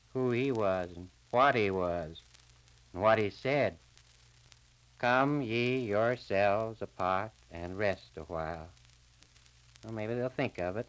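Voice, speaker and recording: An actor playing a thoughtful, plain-spoken small-town man in a 1940s radio serial, folksy and deliberate. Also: lowish voice, a bit bright, clean tone. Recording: crackly 1940s radio transcription disc.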